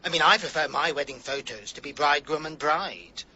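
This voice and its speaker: A camp, catty English best friend in a radio comedy-drama. His voice is very sharp and forward, a little high, with theatrical, melodramatic delivery. The recording is slightly dull. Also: expressive, brisk, a little hiss or hum. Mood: catty, sarcastic.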